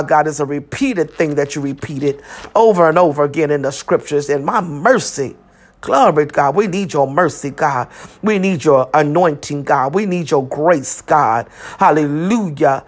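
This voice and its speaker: Fervent prayer leader with a mid-range voice. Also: medium pitch. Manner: repetitive, exclamatory, punctuated with praise interjections.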